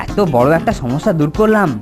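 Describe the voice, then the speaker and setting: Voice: medium pitch, expressive, quick pace, close to an everyday voice. An actor playing a bossy, boastful older hostel boy in a children's cartoon, pompous and scheming. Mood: smug. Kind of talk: exaggerated children's cartoon acting. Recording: music behind the voice.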